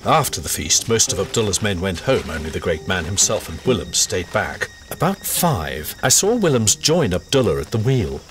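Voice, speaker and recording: An actor playing an anxious, bitter colonial trader who talks in an indignant, self-pitying way. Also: male voice, low voice, very animated, moderate pace, few pauses, background noise. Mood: tense. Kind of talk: full-cast audio drama acting. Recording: studio audio drama with music bed.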